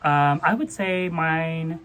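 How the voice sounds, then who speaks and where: A woman's voice: low voice, slow pace, fairly bright tone. A young woman hosting a casual book chat in an informal, conversational, hedging manner. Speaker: book vlogger. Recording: clear background noise.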